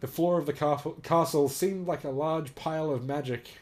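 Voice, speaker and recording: A man's voice: fairly high pitch, steady tone, normal speed, darkish tone. A man casually reading aloud and reacting as host, amused, sweary and mock-serious.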